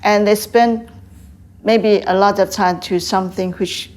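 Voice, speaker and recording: An older woman from Taiwan with a Taiwanese accent, answering interview questions in halting English with frequent fillers. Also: medium pitch, fairly even intonation, a little mellow. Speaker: guest, tea house owner.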